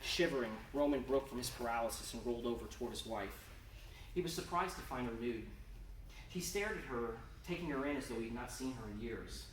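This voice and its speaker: A man gives a dramatic live reading of a story. He sounds intense and theatrical, leaning on pauses for emphasis. The recording is distant and echoing, with some noise around him. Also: mid-range voice. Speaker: author reading own fiction. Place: indoor convention reading room. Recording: roomy, distant, noisy live event recording.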